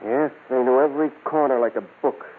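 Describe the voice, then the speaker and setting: Actor as dutiful sergeant on tinny old radio. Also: male voice, mid-range voice, fairly quick, natural voice. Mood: earnest, reporting. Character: dutiful, factual male police sergeant. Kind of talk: old-time radio crime drama acting. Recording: tinny old radio recording, light hiss.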